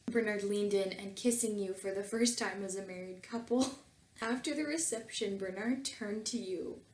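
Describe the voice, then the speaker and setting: A woman's voice: mid-range voice, normal speed, a little mellow. A woman reading fan fiction aloud and commenting on it casually, with a tongue-in-cheek, mock-earnest manner. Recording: roomy echo, music behind.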